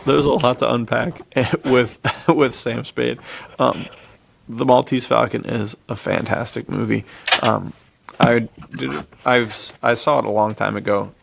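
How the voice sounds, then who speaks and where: A man's voice: medium pitch, steady tone, unhurried, gravelly, noisy background. A man co-hosting a podcast, discussing films in an informal, laid-back, slangy way. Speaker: podcast co-host. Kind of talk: casual film discussion.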